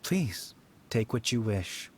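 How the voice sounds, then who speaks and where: A man's voice: fairly low pitch, big pitch swings, takes it slowly, a little mellow, a little hiss or hum. A man voicing a wistful, cryptic old shrine keeper in a video game, with a poetic, courteous manner. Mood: resigned, gentle. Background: game music.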